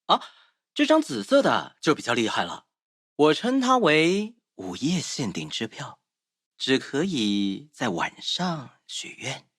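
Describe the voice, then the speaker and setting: The boyfriend in a mobile romance game, whispering-close and dry, husky, playful and tender with his sweetheart. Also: medium pitch, huge pitch swings, measured pace, a bit bright, dry recording, full character voice. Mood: cheerful, doting. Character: doting, teasing young man romancing his sweetheart. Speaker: game voice actor.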